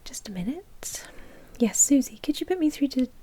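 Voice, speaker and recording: A woman playing a chatty, politely officious vampire bank manager in a whispered, breathy ASMR roleplay. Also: sits high, big pitch swings, normal speed, dark tone, a little hiss or hum. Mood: chatty, confiding.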